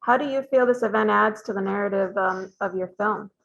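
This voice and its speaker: A woman interviewer with a mid-pitched voice poses carefully framed questions at a steady, measured pace. Her sound is dull and lo-fi, with the top end missing. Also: vocal fry. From of Cuban descent. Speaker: festival founder hosting a Q&A.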